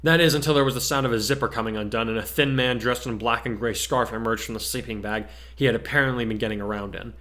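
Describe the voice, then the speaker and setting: A male narrator reads a story aloud in a medium pitch. His delivery is scripted narration in the style of a dramatic reading. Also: steady tone, fast talker, hardly any pauses, a bit bright.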